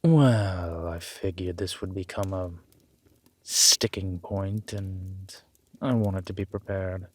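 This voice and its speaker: Man playing a slow, low-voiced urbane ancient vampire. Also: steady tone, no echo. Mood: smug, fond. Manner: measured, formal, deliberate, drawn-out phrasing. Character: urbane, centuries-old male vampire, dry and condescending. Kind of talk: scripted audio roleplay drama.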